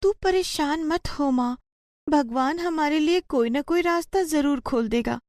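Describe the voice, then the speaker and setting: Woman doing a young girl, high-pitched, sweet, gentle, consoling, storybook daughter, dry sound. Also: a little slow, dry recording. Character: sweet, caring young daughter of a poor mother.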